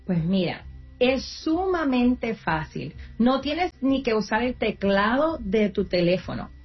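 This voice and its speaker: A woman's voice: a little high, sing-song, takes it slowly, dull audio, soft background music. A woman who is a guest on an interview, coaching job seekers and explaining in an earnest way, sometimes restarting her words. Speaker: guest, nonprofit career coach. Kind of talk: interview answers, advice.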